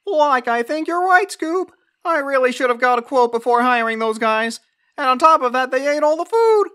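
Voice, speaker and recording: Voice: sits high, lively intonation, moderate pace, bright, forceful tone. A performer voicing a lanky, cowardly young slacker guy in a cartoon, whiny and sarcastic, peppering speech with "like". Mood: casual, wry. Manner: whiny, says "like" constantly. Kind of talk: cartoon voice acting.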